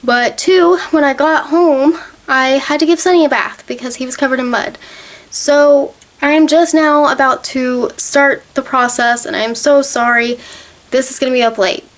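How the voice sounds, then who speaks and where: A young woman in her twenties with a slightly hoarse, fading voice, talking to camera in a chatty, earnest way with plenty of fillers. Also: sits high, fairly even intonation, moderate pace. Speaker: vlogger. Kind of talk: casual talk to camera.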